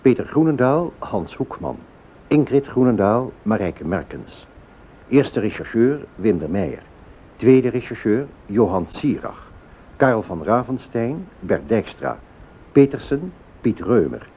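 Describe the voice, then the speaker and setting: A man's voice: medium pitch, big pitch swings, a little slow, breathy edge. A man announcing the closing credits of a radio play in a scripted style. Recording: tinny, narrow-band old radio recording.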